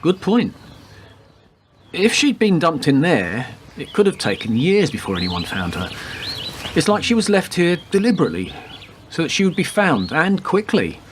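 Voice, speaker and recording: A man playing a laconic, deadpan police detective with a macabre wit in a radio play. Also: a little high, moderate pace, a little hiss or hum. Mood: wry, matter-of-fact. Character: wisecracking male police detective with macabre humour. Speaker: actor in a community radio play. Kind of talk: radio drama dialogue.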